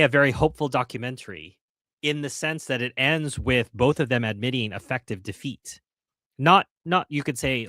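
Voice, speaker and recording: A man's voice: mid-range voice, sharp tone, no room sound. A man hosting a casual livestream, reading out chat comments, earnest with restarts and wry asides. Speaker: host of a livestream.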